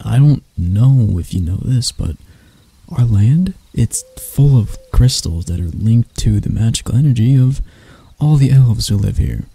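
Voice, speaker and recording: A man playing a proud, mocking elf royal in an ASMR roleplay, speaking in a soft, breathy, husky voice with dramatic pauses. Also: medium pitch, expressive, no echo, heavily acted. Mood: grave, explaining.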